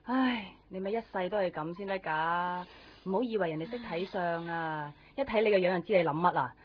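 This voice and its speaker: An actress playing a blunt but caring, talkative young friend in a 1990s radio drama, with a Hong Kong Cantonese accent. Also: female voice, lowish voice, a little fast, slight background noise, lightly acted. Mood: blunt, urging. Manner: teasing, chatty, blunt. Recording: dull 1990s radio recording, some music.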